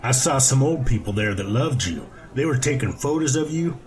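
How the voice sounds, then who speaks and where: A man's voice: medium pitch, expressive, moderate pace, darkish tone, close to an everyday voice. A man voicing a happy, jovial, extroverted bar-goer in a storybook reading, chummy and joking. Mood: friendly.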